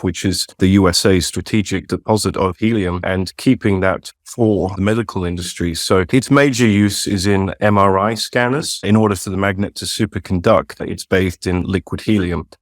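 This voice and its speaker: A man, a company chief executive, answering interview questions in a measured, explanatory way with frequent fillers, speaking from home. His English accent is not North American. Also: deep voice, dry recording, studio quality. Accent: non-North American English. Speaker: company CEO guest. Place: home, dog barking at times.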